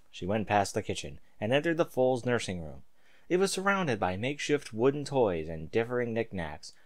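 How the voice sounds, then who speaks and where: A man reading a story aloud in a theatrical, emphatic amateur narrating style. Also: mid-range voice.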